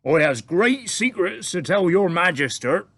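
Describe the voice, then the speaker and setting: A man voicing a big, friendly male giant who talks in an earnest, booming way and mangles his words, read aloud from a storybook. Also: big pitch swings, unhurried, fairly bright tone, dry recording, full character voice.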